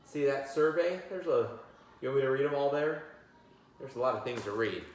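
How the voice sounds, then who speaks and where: A man's voice: mid-range voice, expressive, brisk. A middle-aged man hosting a casual children's read-aloud, chatting to his young listeners with asides. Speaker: read-aloud host, teacher. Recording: very echoey, roomy sound.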